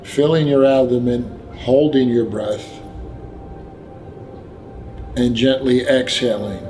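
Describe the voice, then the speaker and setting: A man narrating a guided meditation, giving gentle, unhurried instructions with long pauses. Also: medium pitch, fairly even intonation, rough recording. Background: background music.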